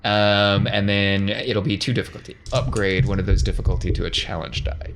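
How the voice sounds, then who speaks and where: A man's voice: lowish voice, steady tone, normal speed, background noise. A man narrating a tabletop game as game master in a casual, improvised way.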